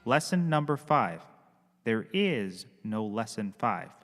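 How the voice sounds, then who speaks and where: A man's voice: lowish voice, big pitch swings, takes it slowly, a bit bright, faint music behind, heavily acted. A man playing a cocky, clueless small-time private eye in a scripted comedy, glib and rambling. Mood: breezy lecturing. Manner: glib, rambling, self-assured.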